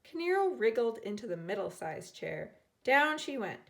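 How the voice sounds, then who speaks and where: A woman teacher reading a children's storybook aloud in an expressive way, acting out the characters. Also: on the high side, moderate pace, vocal fry. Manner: expressive, dramatizing characters' lines.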